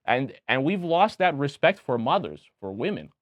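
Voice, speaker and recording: A man's voice: medium pitch, sing-song, normal speed, no room sound, clean studio sound. A young man with a Romanian accent, a guest candidate talking opinionatedly in a casual interview, with many restarts. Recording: dull lo-fi sound.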